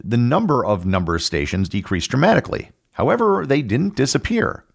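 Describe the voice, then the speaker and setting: A man hosting a podcast, reading a scripted educational narration in a measured, explanatory way with emphatic phrasing. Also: on the low side, vocal fry. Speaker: podcast host.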